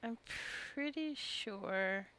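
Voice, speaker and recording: A woman's voice: on the high side, lively intonation, very slow, a bit bright, rough recording. A woman giving casual, rambling gameplay commentary, drifting into chatty asides as she goes. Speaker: gaming video creator.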